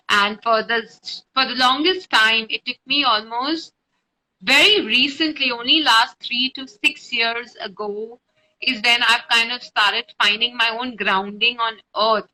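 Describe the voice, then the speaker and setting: A woman's voice: on the high side, bright, forceful tone, low-quality recording. A woman spiritual guide talking informally and explaining things on a live stream, recorded on a phone with faint noise. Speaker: spiritual guide and meditation teacher.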